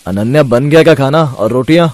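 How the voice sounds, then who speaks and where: Man playing a young cartoon husband, meek, pleading, medium pitch, dry recording. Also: brisk, darkish tone, no echo, lightly acted. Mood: gentle inquiry.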